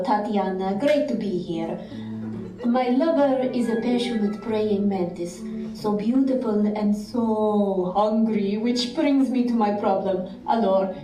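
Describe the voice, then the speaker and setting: A woman voicing a melodramatic, lustful praying mantis in a live comic puppet show, with theatrical, drawn-out delivery. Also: medium pitch, music in the background, lightly acted. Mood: anxious, infatuated. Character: melodramatic, hungry, lustful French praying mantis, posing as male. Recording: roomy live stage, distant mic.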